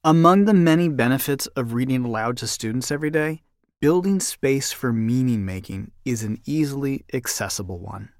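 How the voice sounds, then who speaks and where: A man's voice: mid-range voice, creaky, no echo, studio quality. A man hosting a podcast, delivering scripted narration to the listener. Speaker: podcast host. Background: music.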